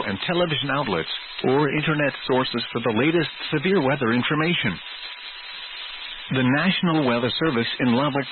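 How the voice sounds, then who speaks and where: Automated weather radio announcer: synthetic male, formal and impersonal scripted alert read, thin narrowband radio sound with noticeable static. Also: mid-range voice. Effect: synthesized text-to-speech voice. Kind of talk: scripted emergency weather bulletin.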